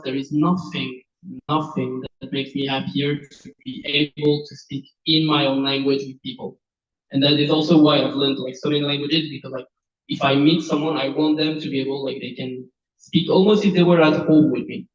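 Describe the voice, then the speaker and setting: Male panel candidate, native French, French accent, candid and informal; muffled, distant roomy recording. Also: medium pitch. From France. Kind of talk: informal spoken answers to panel questions. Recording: dull, echoey room, distant mic.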